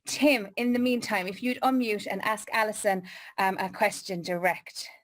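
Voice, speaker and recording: A woman's voice: mid-range voice, moderate pace, fairly bright tone. Woman host, cheerful moderator of an online Q&A on a video call. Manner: upbeat, encouraging.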